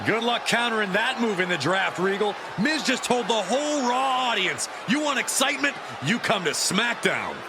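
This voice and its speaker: Sarcastic colour commentator in wrestling game, high voice, super noisy arena behind. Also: male voice, lively intonation, normal speed, few pauses. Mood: snide. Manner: sarcastic, needling. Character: snide, skeptical male wrestling colour commentator. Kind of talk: sports commentary.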